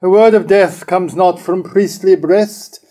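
A man playing a solemn, benevolent high priest in a formal reading of a verse drama. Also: measured pace, dark tone. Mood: solemn, glad. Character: solemn, benevolent male high priest bearing good news. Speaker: actor in a staged reading.

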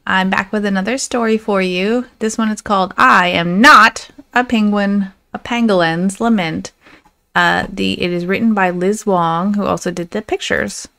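A woman's voice: mid-range voice, fairly even intonation, normal speed, vocal fry, pushed and bright. A woman reading a picture book aloud and talking to children as their story reader. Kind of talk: read-aloud narration for children.

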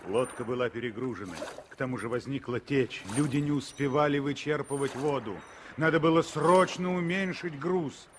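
A man's voice: medium pitch, moderate pace, hardly any pauses, slight background noise. A man narrating a 1960s Soviet radio play in a solemn, poetic, declamatory style.

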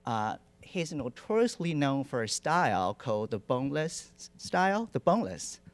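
A man's voice: mid-range voice, normal speed. Middle-aged man, mid voice, hesitant, wry; handheld mic, lecture hall. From Taiwan. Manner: relaxed, hesitant with many fillers, self-deprecating humor. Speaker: artist giving a lecture. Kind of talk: lecture presentation. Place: lecture hall with projection screen. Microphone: handheld microphone.

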